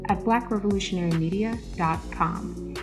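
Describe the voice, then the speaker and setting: A woman's voice: medium pitch, moderate pace. A woman announcer reading a scripted outro. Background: music.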